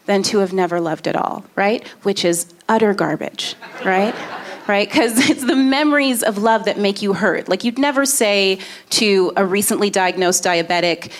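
A woman's voice: medium pitch, normal speed. A woman comedian performing a stand-up routine on stage, with a wry, conversational delivery.

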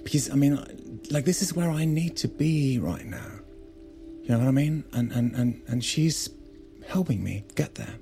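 A man's voice: mid-range voice, animated, moderate pace, creaky, dark tone, faint music behind. A man playing a defensive estranged husband who wants change, in a naturalistic audio drama, justifying himself with some hesitation. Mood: conflicted, earnest.

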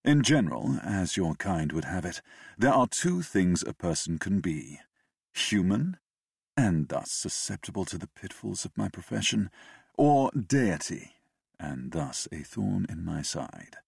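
A man's voice: deep voice, sing-song, a little slow, vocal fry, slightly rough, no echo, heavily acted. A man with a British accent, reading as an ironic, brooding male Death in an audiobook. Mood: wry, sinister. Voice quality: dry, ironic, philosophizing. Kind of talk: audiobook narration.

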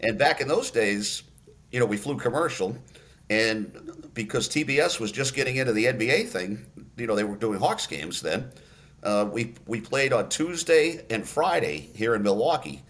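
A man in his sixties from Massachusetts, a radio announcer telling stories in a podcast interview with many "uh" pauses. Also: mid-range voice. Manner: relaxed storyteller, many "uh" pauses.

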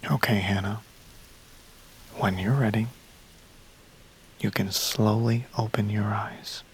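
Slow, low-voiced gentle male counselor. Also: fairly even intonation, slight background noise, low-quality recording. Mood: soothing, hushed. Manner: soothing, unhurried, often softly breathy. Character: gentle, steady male counselor guiding breathing exercises. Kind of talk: audio drama acting.

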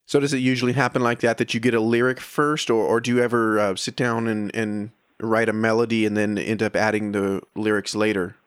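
A man's voice: lowish voice, little pitch movement, brisk, hardly any pauses, clear, resonant tone, no room sound. Male interviewer, dry studio-like sound. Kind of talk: conversational interview questions.

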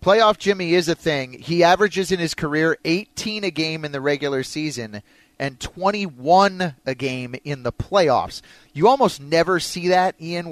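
An American man hosts sports talk radio in a fairly high voice. The sound is close and very dry, with conversational analysis flowing like studio radio. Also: no room sound.

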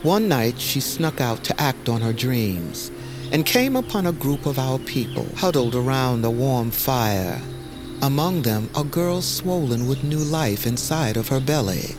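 A man narrating a dark fantasy legend as a dramatic, solemn storyteller with poetic phrasing. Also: mid-range voice, lively intonation, unhurried. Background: music.

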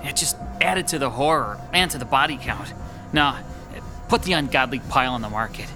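A man plays an exasperated young husband in a haunted-house radio play. His mid-pitched voice is worn out and bitingly sardonic, with clear music underneath. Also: animated, brisk, lightly acted. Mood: weary, fed up. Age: young adult. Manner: weary, sardonic. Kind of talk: radio drama dialogue.

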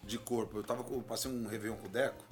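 A man with a Brazilian accent, a singer, telling quick anecdotes in relaxed slang during a casual chat. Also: lowish voice, faint music behind. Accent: Brazilian Portuguese. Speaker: singer, guest.

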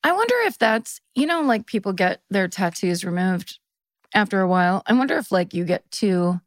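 A woman with a medium-pitched voice co-hosts a casual comedy podcast. She banters playfully and builds on jokes, recorded dry with no room sound. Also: lively intonation, normal speed, no room sound. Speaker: podcast co-host.

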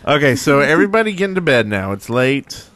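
A man's voice: medium pitch, sing-song, fast talker, a bit bright, dry recording. A man hosting a podcast in casual, joking chat. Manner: joking, playful. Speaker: podcast host. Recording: music playing behind the voice.

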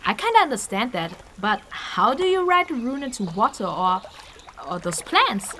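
A cheeky young woman adventurer in a fantasy audio drama. Her high voice leaps about expressively, casual and wry with a squeamish streak, over faint forest noise. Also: very animated, moderate pace, bright, forceful tone.

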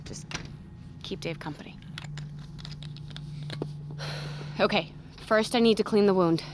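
An actress playing a composed medic who takes charge with businesslike instructions, in a naturalistic audio drama. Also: female voice, mid-range voice, moderate pace, background noise, natural voice. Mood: focused. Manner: businesslike, giving clear instructions. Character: brisk, composed female medic taking charge.

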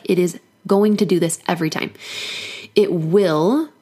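Hoarse woman coaching on a podcast. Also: fairly low pitch, warm tone. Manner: earnest, encouraging teaching tone. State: hoarse from a cold. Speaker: life coach and podcast host. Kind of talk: solo podcast monologue.

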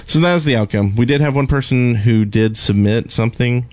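A man's voice: medium pitch, brisk, darkish tone, dry recording. A man co-hosting a podcast in loose, rambling, casual conversation. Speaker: podcast co-host.

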